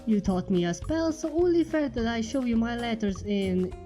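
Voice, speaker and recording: Brief: a reader playing a terse young mare from a storybook, clipped broken phrasing, mellow tone. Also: medium pitch, faint music behind, natural voice. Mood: firm. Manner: halting broken speech, terse and demanding. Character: blunt young female pony outsider.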